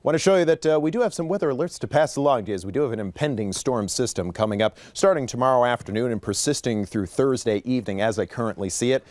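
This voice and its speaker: A man's voice: medium pitch, lively intonation, quick pace, few pauses, a little mellow, no room sound. An American man presenting a broadcast weather forecast as a TV meteorologist in a conversational, explanatory way.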